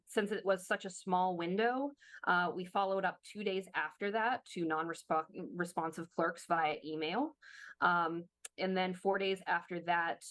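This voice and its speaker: A woman's voice: on the low side, a bit bright, no echo. A woman who is losing her voice a little, presenting slides in a workshop over a video call and explaining steps with many fillers and restarts. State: slightly hoarse, losing her voice. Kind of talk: webinar presentation walking through slides.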